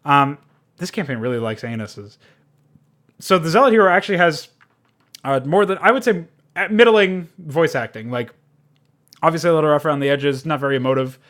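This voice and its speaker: A man with a slightly high voice reviews a game off the cuff. He talks in a loose, rambling, informal way and swears freely as he goes. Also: lively intonation, a little fast, pauses often, a bit bright. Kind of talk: casual unscripted spoken review.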